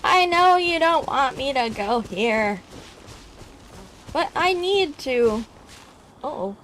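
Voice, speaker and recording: A woman giving casual, excitable gameplay commentary, exclaiming and talking to herself as she plays. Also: sits high, slow pace, slight background noise. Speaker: game streamer.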